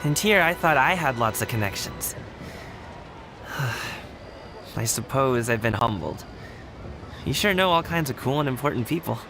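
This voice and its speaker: A man's voice: mid-range voice, lively intonation, a little slow, long pauses. An actor playing an earnest, humble young man in a game, with music underneath. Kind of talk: game voice acting. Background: game background music.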